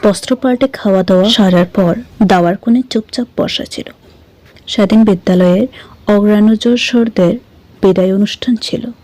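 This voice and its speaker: A woman's voice: mid-range voice, normal speed, warm tone, no room sound. A woman reading a scripted short story as an audiobook narrator.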